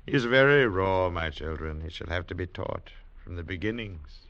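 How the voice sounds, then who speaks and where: Gravelly, low-voiced stern old patriarch. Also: male voice, expressive, normal speed, a bit bright, slight background noise. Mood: stern, dismissive. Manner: solemn, authoritative pronouncements. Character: stern old blind village elder, patriarch. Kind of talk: 1940s radio acting. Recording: dull vintage radio recording.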